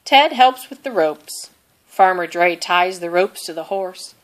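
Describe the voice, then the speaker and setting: Female children's story reader, unhurried and expressive, with lively pitch movement. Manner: expressive, deliberate. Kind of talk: children's read-aloud narration.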